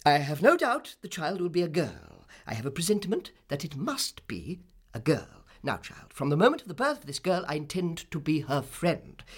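A man's voice: on the high side, sing-song, normal speed, husky, voice pushed up, full character voice. A man voicing an imperious, brusque older woman, a commanding storybook aunt who speaks abruptly and emphatically. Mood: firm, decided.

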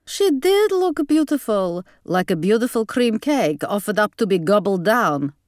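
A woman's voice: mid-range voice, very animated, a little slow, a little mellow, no echo, clean studio sound. A woman voicing an imperious, snappish old grandmother with a Hungarian accent in an audiobook. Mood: scornful, grand. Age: older. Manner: snappish, opinionated.

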